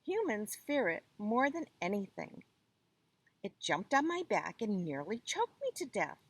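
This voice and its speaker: A frightened wolf from a children's folktale, acted out by a woman reader. The voice is husky and lively, with urgent, emphatic pleading. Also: mid-range voice, unhurried, no room sound, exaggerated voice. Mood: urgent, fearful. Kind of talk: children's folktale read aloud.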